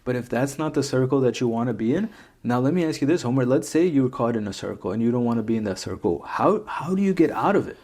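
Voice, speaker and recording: A man's voice: medium pitch, expressive, quick pace, a little mellow. A man hosting a podcast in casual chat, loose and conversational, often checking in with "right?" and repeating words as he thinks. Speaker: podcast host.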